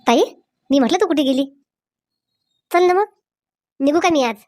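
A woman's voice: high voice, moderate pace, darkish tone, no echo, studio quality, lightly acted. A woman voicing an eager young village bachelor in a Marathi comedy cartoon, with a rural Varhadi accent and urging, colloquial talk. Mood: restless. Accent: rural Maharashtra, Varhadi Marathi. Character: eager young village bachelor, brother visiting his sister.

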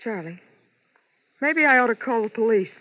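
A woman's voice: fairly high pitch, expressive, normal speed, no room sound. Shaken young showgirl, muffled old radio. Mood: doubtful. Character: frightened young Las Vegas showgirl wife, shaken, anxious. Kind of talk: 1950s radio drama acting.

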